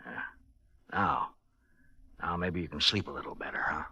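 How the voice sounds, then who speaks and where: An actor playing a knowing, dry, bluntly paternal investigator in a 1950s radio drama. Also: male voice, very deep voice, normal speed, bright, forceful tone, breathy edge, no room sound, full character voice. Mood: grave, explaining.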